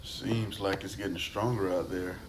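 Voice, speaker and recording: A man's voice: low-pitched, fairly even intonation, normal speed, background noise, close to an everyday voice. A man playing a grumpy old man in an amateur puppet show, speaking tersely in a plodding way. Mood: gruff.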